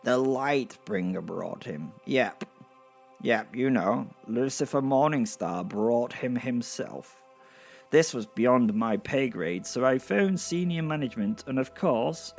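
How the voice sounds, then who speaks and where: Man acting a fussy heavenly clerk, gravelly. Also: medium pitch, expressive, slow pace, full character voice. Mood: flustered, wary. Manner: hesitant, stammering, self-important. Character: nervous, officious, fussy male heavenly gate attendant. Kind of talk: monologue in comic fantasy audio drama. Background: music.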